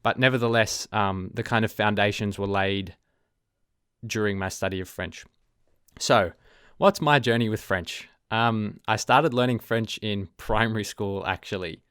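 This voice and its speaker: A young man with an Australian accent talks through a solo podcast in a relaxed, reflective way, stopping to rephrase and filling gaps with ums. His voice sits fairly low and comes across smooth and resonant. The sound is close and dry, with no room echo. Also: unhurried. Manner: thoughtful, self-correcting, frequent ums. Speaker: podcast host. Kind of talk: casual solo podcast talk.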